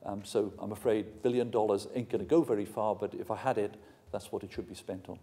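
Older welsh-born guy lecturing, blunt and emphatic, warm lowish voice. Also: fairly even intonation, fairly quick. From Wales. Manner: frank, plain-spoken, emphatic. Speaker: university lecturer. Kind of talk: public lecture and Q&A answers. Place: large ornate hall, indoors.

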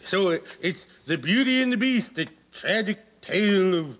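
A man's voice: high-pitched, animated, normal speed, a bit bright. A man playing a bumbling, tipsy elderly children's storytime host in a comedy sketch, stammering and losing his thread. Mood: rambling, muddled. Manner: rambling, stammering, loses his thread, trails off. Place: living-room studio set with audience. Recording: noisy TV studio, audience behind.

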